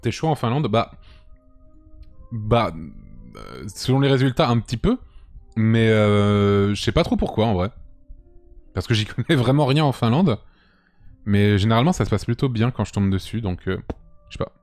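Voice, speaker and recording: A man's voice: fairly low pitch, animated, normal speed, long pauses, darkish tone. A young man from France, chatting casually and thinking aloud on a game livestream, close on the mic. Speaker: live streamer. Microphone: close boom mic with headset. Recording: music playing under the voice.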